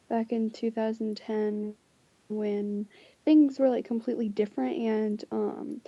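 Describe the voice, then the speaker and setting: A woman co-hosts in a very dark, mellow voice pitched a bit high. She speaks quietly with a sore jaw, reminiscing, through a dry and dull home mic. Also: dry recording. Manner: quiet, short replies, reminiscing. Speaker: podcast co-host. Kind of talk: casual chat.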